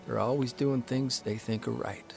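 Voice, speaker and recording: A man voicing a young newspaper columnist, telling a story in a measured, fond way. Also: mid-range voice, steady tone, creaky, a little mellow, faint music behind, lightly acted. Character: young male newspaper columnist, earnest, reflective. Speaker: actor reading a column in character. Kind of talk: scripted narration and radio drama acting.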